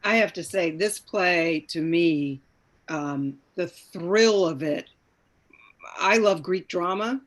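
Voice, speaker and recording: A woman with a lowish voice talks very slowly in an informal panel chat. She is effusive and emphatic, pausing to search for the right words as she reflects. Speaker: stage actor, panel guest.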